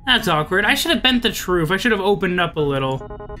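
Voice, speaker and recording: A man's voice: high-pitched, big pitch swings, fairly quick, sharp tone, faint music behind. A man hosting a visual novel playthrough, reading narration aloud with chatty, amused, wry asides. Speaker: let's play host.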